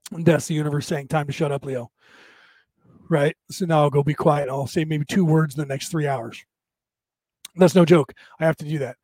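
Raspy guy rambling on a livestream, kinda high voice, restarts a lot, dry sound. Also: moderate pace, pauses often, a little mellow, no room sound. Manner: rambling, repetitive spiritual musing with many restarts. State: voice tiring. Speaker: podcast host. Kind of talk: casual livestream monologue answering viewer comments.

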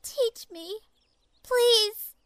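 Cast: timid little orphan girl, voiced by a woman, very high, slow and soft, dry close sound. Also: no echo, heavily acted. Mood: pleading. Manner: soft, pleading, halting.

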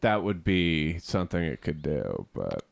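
A man's voice: rumbling low, big pitch swings, a little slow, gravelly, no room sound. A young man from Cape Cod co-hosting a podcast in casual chat, rambling with many fillers and restarts. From Cape Cod, Massachusetts. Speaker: podcast co-host.

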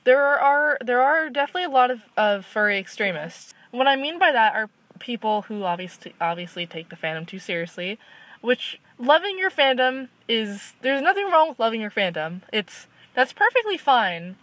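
Young woman, somewhat high voice, earnest, rambling, casual chat. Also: sing-song, normal speed, fairly bright tone, rough recording. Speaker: panel guest in a fan discussion.

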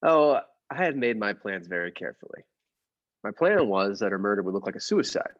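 A man playing a mild henpecked husband plotting murder in a radio play, measured in narration and hesitant in conversation. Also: fairly low pitch, sing-song, highs cut off. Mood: brooding, confiding.

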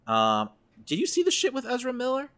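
Bright-voiced man podcasting casually. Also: extremely high pitch, huge pitch swings, a little fast. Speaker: podcast co-host. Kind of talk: casual entertainment news chat.

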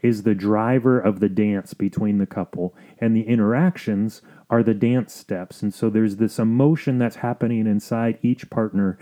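A man with an American accent hosting an explanatory podcast as a counselor, talking in a measured, earnest way with pauses. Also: on the low side, fairly even intonation, dark tone. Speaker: podcast host and family counselor.

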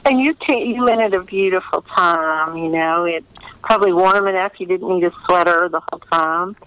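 A woman's voice: fairly low pitch, normal speed, fairly bright tone, clear, resonant tone, dry recording, low-quality recording. A woman novelist chatting casually in an interview, talking in a meandering way with trailing asides.

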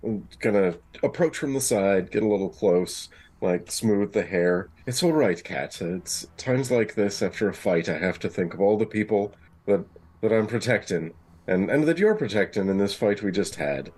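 A man's voice: fairly low pitch, animated, normal speed, a little mellow, husky. A man playing a tabletop roleplaying game, chatting casually and improvising as he goes. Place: remote online call.

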